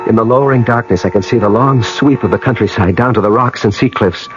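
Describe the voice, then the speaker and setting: A man's voice: on the low side, fast, natural voice. A man playing an earnest American traveller in a radio drama, acting and narrating dramatically with an American accent. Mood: bewildered. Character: earnest, determined American traveller searching in Ireland. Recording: dull lo-fi vintage radio recording.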